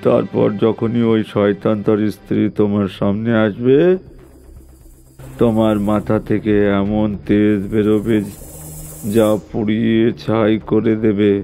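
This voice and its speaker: A calm holy man from a horror cartoon, voiced by a male storyteller. He speaks low and measured, with solemn, reassuring formality. Faint music runs behind him. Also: creaky. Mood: wise, instructing.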